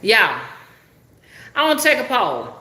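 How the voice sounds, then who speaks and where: Middle-aged Alabama woman, strong Southern accent, folksy live-stream host, bright voice, echoey room. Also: fairly high pitch, big pitch swings, measured pace. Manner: folksy, chatty, uses country dialect. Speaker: rootworker and spiritual reader. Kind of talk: casual live-stream chat.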